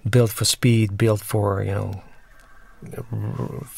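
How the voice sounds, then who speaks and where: Low, gravelly man giving film commentary. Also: little pitch movement, takes it slowly, a little mellow, no room sound. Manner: reflective, searching for words. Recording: music behind the voice.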